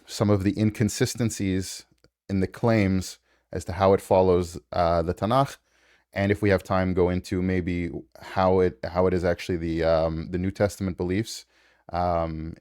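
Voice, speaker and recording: A man's voice: low voice, darkish tone, clear, resonant tone, dry recording, clean studio sound. A man hosting an online interview, speaking hesitantly with many fillers over a video call. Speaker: interview host. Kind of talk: casual interview.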